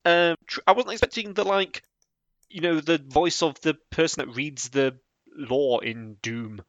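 A man chatting casually as a podcast co-host, talking in a halting, rambling way and often trailing off. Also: fairly high pitch, fairly bright tone, dry recording, lo-fi sound. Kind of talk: casual chat about anime voice acting.

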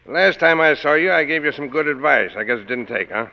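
An actor playing a tough, dry-witted police inspector in a 1940s radio drama, speaking tersely and gruffly. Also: male voice, on the high side, expressive, a little fast. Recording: muffled 1940s radio broadcast.

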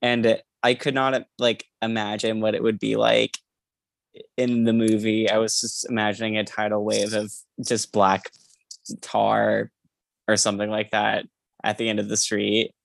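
A podcast co-host with a mid-pitched, gravelly voice talks casually about books. The delivery is emphatic, with phrases repeated for weight, and the sound is close and dry. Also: monotone, unhurried, no echo. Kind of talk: casual book discussion.

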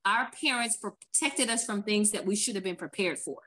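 A woman with a bright, mid-pitched voice tells a family story with plenty of emphasis. She speaks in a casual group conversation. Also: normal speed.

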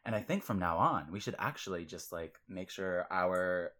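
A man's voice: low-pitched, animated, normal speed, a bit bright. Earnest male podcast host. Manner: earnest, lots of "like" and restarts. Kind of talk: casual podcast conversation. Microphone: podcast mic.

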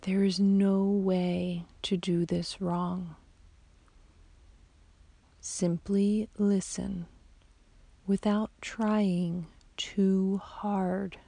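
A woman guiding a sleep meditation as a yoga nidra teacher, in a soft, whispery, soothing voice with long, unhurried pauses. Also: mid-range voice, level tone, vocal fry, dry recording. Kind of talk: slow guided sleep meditation.

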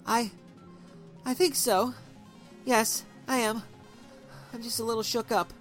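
A shaken young wife pleads in a high, strained voice that swings up and down, as a male narrator puts her on. She sounds frightened and defensive, with soft music faint in the background. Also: sing-song, normal speed.

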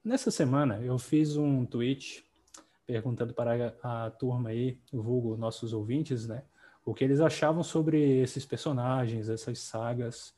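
A man hosting a casual podcast, easygoing and chatty, often tossing in little tag questions. His voice is mellow and a bit husky. He sits at a middle pitch. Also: a little slow. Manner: relaxed, conversational, many tag questions. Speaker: podcast host.

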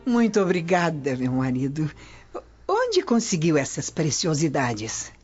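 A woman's voice: low voice, huge pitch swings, a little slow, a little mellow, full character voice. An actress plays a scheming Roman prefect's wife in a radio soap, sugary and mocking. Her voice swings widely, over music in a slightly dull, lo-fi recording. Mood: mock-sweet, taunting. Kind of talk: radio drama acting. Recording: dull lo-fi radio drama audio. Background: clear music bed.

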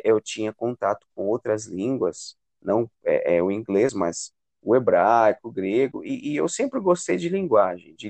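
Man, São Paulo state Brazilian accent, interview guest, explanatory, halting, gravelly fry, dry sound. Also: medium pitch, darkish tone, no echo. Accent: Brazilian Portuguese, São Paulo state. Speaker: English teacher and university student, interview guest. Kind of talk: conversational interview answers.

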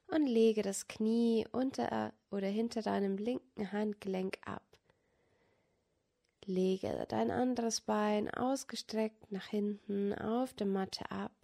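A woman guiding a yoga meditation as a teacher, speaking softly and calmly with long pauses between instructions. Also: medium pitch, vocal fry. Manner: soft, calm, soothing, with long pauses. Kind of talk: guided yoga nidra meditation.

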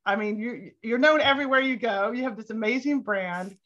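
A woman's voice: a little high, brisk, fairly bright tone. Enthusiastic woman host, slightly dull audio. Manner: enthusiastic, laughs often. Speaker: podcast host. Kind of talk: casual interview conversation.

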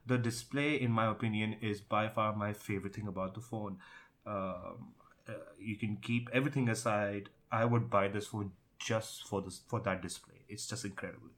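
A man with a fairly low voice chats about tech as a podcast co-host. He is casual but emphatic, stating opinions firmly and restating them for stress. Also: fairly even intonation, moderate pace, fairly bright tone.

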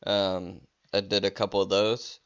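A man's voice: deep voice, little pitch movement, a little slow, fairly bright tone, smooth, lo-fi sound. A man hosting a casual solo podcast, rambling with many restarts and fillers while he is losing his voice. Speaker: podcast host.

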